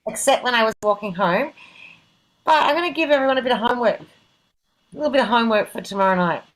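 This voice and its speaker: A woman's voice: on the high side, quick pace, fairly bright tone, low-quality recording. A middle-aged woman moderating an event on a video call, talking casually and self-deprecatingly in an informal, rambling way.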